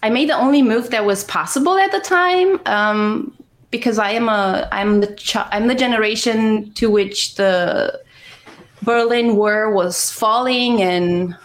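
A woman's voice: on the high side, unhurried. A woman in her forties from Romania, speaking English with a Romanian accent, chatting as a guest and telling stories about her life with frequent laughs. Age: 40s. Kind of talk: casual conversation.

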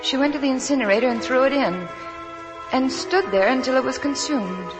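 Anxious young 1940s radio-drama wife, higher voice, played by an actress. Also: female voice, a little fast, close to an everyday voice. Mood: troubled recollection. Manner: earnest, pleading, hesitant. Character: anxious, devoted young wife, gentle but searching. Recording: vintage 1940s radio broadcast, music underneath.

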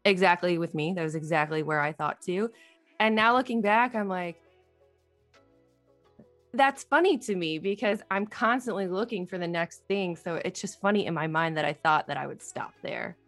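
A woman's voice: on the low side, moderate pace, a bit bright, soft background music. A young adult woman hosting a casual podcast chat, eager and encouraging toward her guest. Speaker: podcast host.

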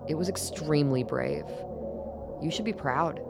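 Woman acting as older female doctor, low pitch, measured, formal, secretive, persuasive, radio play, music underneath. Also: gravelly. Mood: calm, persuasive. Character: composed, secretive older woman doctor defying her former master.

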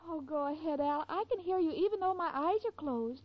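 A woman's voice: high-pitched, a little fast, close to an everyday voice. An actress playing a sweet, naive, gushing young girlfriend in a 1940s radio comedy. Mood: eager. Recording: muffled, noisy 1940s radio broadcast.

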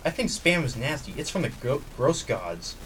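A man's voice: medium pitch, very animated, normal speed, close to an everyday voice. A man playing an easygoing, conciliatory sidekick in an amateur audio comedy. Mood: mildly bored. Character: easygoing, bored male sidekick and peacemaker. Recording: distant mic, faint background hiss.

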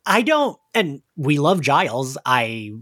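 Lively-pitched man in casual podcast recap. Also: slow pace. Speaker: podcast co-host.

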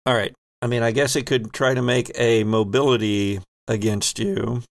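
Man, mid pitch, game master, improvised descriptive narration, pauses to think, dry sound. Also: fairly even intonation, creaky, no room sound. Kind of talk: improvised narration of a tabletop role-playing game.

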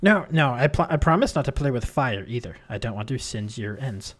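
A man's voice: mid-range voice, expressive, fairly quick, lightly acted. A man voicing a small, whiny, childlike male adventurer who stammers and hesitates.

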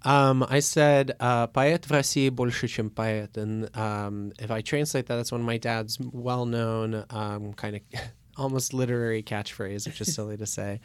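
A man in his thirties, born in Moscow, talking thoughtfully as a guest with many pauses and fillers. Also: medium pitch, steady tone, smooth, no room sound. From Russia. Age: 30s. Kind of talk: casual interview talk.

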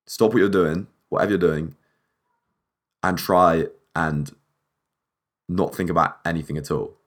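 Husky deep-voiced young man podcasting. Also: a little slow, fairly bright tone. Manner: rambling, repeats and restarts words. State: a bit dehydrated and short on sleep. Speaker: podcast host. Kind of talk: solo podcast talk.

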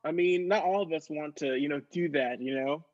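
A woman's voice: low voice, brisk, darkish tone, highs cut off. A woman playing a whiny, lovesick, ditzy friend in an improvised comedy sketch, rambling in a valley-girl manner. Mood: whiny, pleading.

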